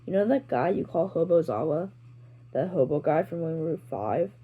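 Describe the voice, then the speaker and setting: A woman's voice: low voice, lively intonation, normal speed, husky, narrow, tinny audio. A woman voicing a blunt, incredulous teenage boy in an amateur fan fiction reading. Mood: casual.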